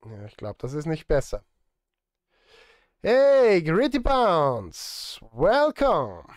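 Male streamer, thirties, slightly high voice, informal and muttering to himself while working, close dry studio recording. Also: wildly swooping pitch, drawn-out words, no room sound. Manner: informal, thinking aloud, murmured asides. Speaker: livestreamer and film composer. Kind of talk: casual livestream chat, muttering while working. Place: small home music studio, indoors.

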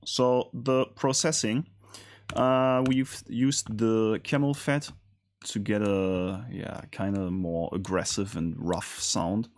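A man hosting a casual music production tutorial in a studio, talking in a hesitant, halting way. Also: fairly low pitch, steady tone, a little hiss or hum. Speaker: tutorial host.

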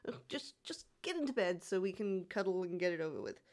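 A man's voice: high voice, expressive, brisk, heavy background noise. A man playing a bashful young catboy demon who feigns toughness, stammering and pouting in an intimate roleplay audio. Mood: sleepy, pouty. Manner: hesitant, stammering, pouty, softly sighing.

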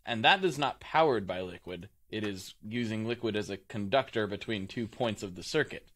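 A man's voice: lowish voice, lively intonation, moderate pace, fairly bright tone. A man co-hosting a casual podcast who rambles, loses his train of thought and laughs easily. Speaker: podcast co-host. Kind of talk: casual chat.

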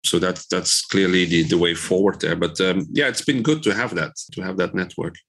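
A man's voice: deep voice, moderate pace, fairly bright tone, breathy edge. A man in his fifties from Belgium answering in a conversational podcast interview, rephrasing as he goes. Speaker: interview guest, trade association director.

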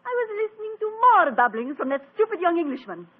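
A woman's voice: extremely high pitch, big pitch swings, quick pace, very noisy. An actress playing a haughty, scornful foreign woman in a 1940s radio drama. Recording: tinny old radio with organ.

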